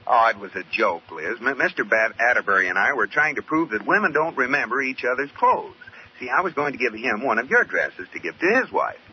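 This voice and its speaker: An actor playing a well-meaning, sheepish American husband, somewhat hesitant, in 1940s radio comedy style. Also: male voice, mid-range voice, very animated, fairly quick, close to an everyday voice. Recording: muffled vintage radio recording with hiss.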